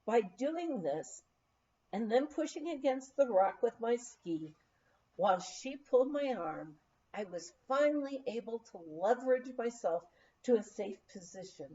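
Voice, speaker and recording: A woman reads a memoir aloud as an audiobook narrator, in a measured, expressive storytelling style. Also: on the high side, highs cut off. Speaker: audiobook reader.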